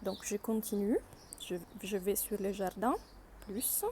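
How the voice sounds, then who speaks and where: Slow, step-by-step woman tutor. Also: medium pitch, fairly even intonation, warm tone, a little hiss or hum. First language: Italian. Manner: unhurried, talks through each click with small hesitations. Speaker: workshop leader teaching learners. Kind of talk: step-by-step app demonstration.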